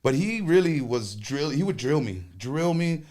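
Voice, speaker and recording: A man speaking, a conjunto band leader chatting casually as a guest, animated and joking, with quick bursts. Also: a little high. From Texas. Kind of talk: casual interview chat.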